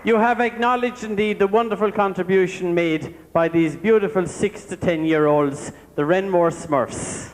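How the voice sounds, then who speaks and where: A man's voice: high voice, moderate pace, few pauses, background noise. An Irish man acting as master of ceremonies at an amateur stage show, effusively reading out thanks and names as he projects to the audience. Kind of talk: live stage announcements and thank-you roll call. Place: large indoor theatre hall, on stage. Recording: echoey hall with loud music, live stage video.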